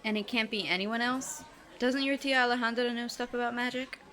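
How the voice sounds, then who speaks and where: A woman's voice: fairly high pitch, fairly even intonation, brisk, sharp tone. A woman playing a sensible, dryly sarcastic teenage girl, the reluctantly loyal friend in an audio drama. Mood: skeptical, wry.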